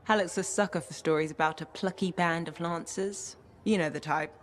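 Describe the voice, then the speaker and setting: An actress playing a bookish, opinionated and dry-witted code-breaker in a video game. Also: female voice, on the low side, fairly even intonation, normal speed, slight background noise, close to an everyday voice. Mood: opinionated, playful. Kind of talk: video game dialogue acting.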